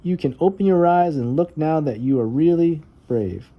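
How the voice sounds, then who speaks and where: A man's voice: fairly high pitch, animated, normal speed, muffled recording, pitched above the performer's own voice, lightly acted. A man voicing a firm, patient female babysitter in a children's storybook read-aloud. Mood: wry.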